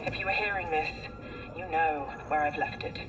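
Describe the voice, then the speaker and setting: Actor as solemn male mentor, high voice, recorded message, game drama, loud music underneath. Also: moderate pace, close to an everyday voice, poor audio. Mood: solemn, reassuring.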